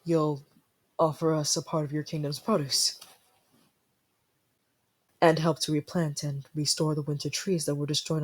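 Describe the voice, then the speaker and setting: Breathy, haughty young ice prince, acted by a man. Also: fairly high pitch. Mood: guarded. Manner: formal, imperious, accusatory. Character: haughty young winter prince, indignant and easily flustered. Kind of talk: ASMR fantasy roleplay acting.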